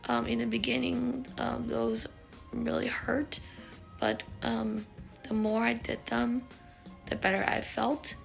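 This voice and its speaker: A woman vlogger giving a casual recovery check-in, with a raspy, sometimes unclear voice and a halting, filler-heavy way of talking. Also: a little high, little pitch movement, faint music behind. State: recovering voice after neck surgery.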